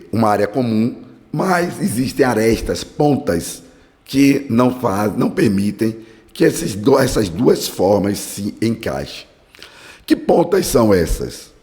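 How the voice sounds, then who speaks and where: Hoarse low-voiced preacher. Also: male voice, darkish tone. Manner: earnest, fervent preaching cadence. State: hoarse from a cold. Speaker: Bible study podcast host. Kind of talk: devotional teaching with prayer. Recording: long hall reverb.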